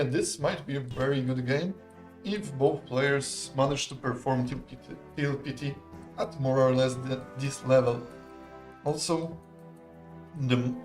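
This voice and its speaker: Man casting a strategy game. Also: medium pitch. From Poland. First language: not English. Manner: relaxed, chatty, laughs often, analytical. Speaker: esports caster. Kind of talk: live game commentary.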